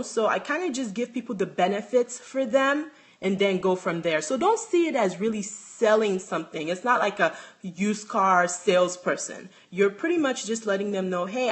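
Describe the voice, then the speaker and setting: A woman's voice: medium pitch, a bit bright. Woman, Nigerian accent, young adult, casual livestream chat, phone mic. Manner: chatty, restarts often, reads out comments. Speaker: handbag designer hosting a livestream. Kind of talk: casual livestream chat answering viewer comments.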